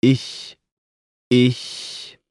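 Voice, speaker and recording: A man reading words for a language lesson, saying each one twice, slowly and deliberately. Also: darkish tone, dry recording, studio quality. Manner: says each word twice, slow and deliberate. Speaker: German pronunciation speaker. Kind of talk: slow pronunciation drills.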